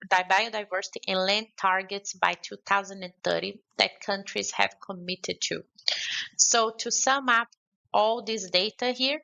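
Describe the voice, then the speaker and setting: A woman's voice: medium pitch, creaky, bright, forceful tone, dull audio. A woman from Brazil with a Brazilian Portuguese accent, giving an earnest prepared video address to a conference. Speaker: conference speaker. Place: remote, pre-recorded video.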